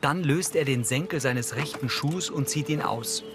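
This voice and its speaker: A man narrates a TV series as audio description. His delivery is neutral and factual, at an average male pitch. Music is clearly audible beneath his voice. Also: normal speed. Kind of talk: scripted scene narration, present tense.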